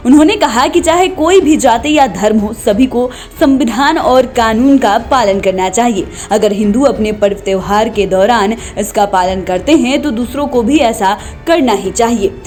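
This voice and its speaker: Higher-voiced woman reading news over music. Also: moderate pace, few pauses, darkish tone. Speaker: news reporter, narrator. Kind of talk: scripted news narration. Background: background music.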